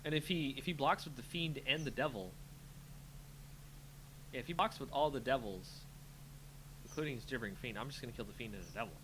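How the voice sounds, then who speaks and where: A man's voice: medium pitch, brisk, long pauses, sharp tone, slight background noise, rough recording. A man giving casual live commentary on a game he is playing, talking to himself playfully as he thinks. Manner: muttering to himself, self-talking, playful sing-song filler. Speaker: host of a card game channel, playing.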